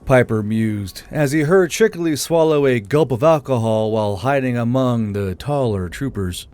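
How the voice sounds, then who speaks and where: A man's voice: medium pitch, moderate pace, darkish tone, smooth, no echo. A man reading scripted fantasy fiction as an audiobook narrator. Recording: background music under the voice.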